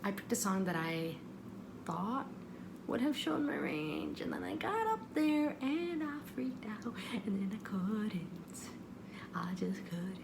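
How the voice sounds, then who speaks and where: Chatty woman with creaky voice, noisy recording. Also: on the high side. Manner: chatty, animated retelling, acting out quotes. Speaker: vlogger. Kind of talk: casual storytelling vlog. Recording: noticeable background noise.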